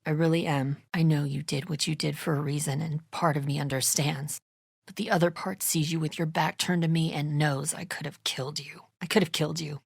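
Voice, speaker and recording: A woman's voice: deep voice, fairly even intonation, a little fast, creaky, slightly rough, dry recording, clean studio sound, full character voice. A woman acting an embattled, suspicious, volatile survivor in a zombie video game, with a confrontational, accusing manner. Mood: subdued, bitter.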